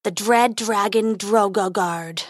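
A woman voicing a huge menacing male dragon, speaking slowly in a low growl. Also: no room sound, clean studio sound. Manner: slow, drawn-out growl.

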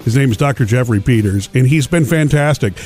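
A man's voice: mid-range voice, brisk, a little mellow, husky, faint music behind. A man hosting a show, chatting casually and rambling with restarts, his voice slightly nasal. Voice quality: slightly nasal after sinus surgery. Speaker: radio show host. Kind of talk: casual chat.

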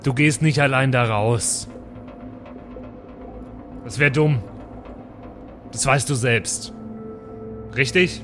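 A man's voice: mid-range voice, takes it slowly, long pauses, a bit bright. A man improvising in-character role-play and table talk as a player, informal and prone to stumbling over his words. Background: background music.

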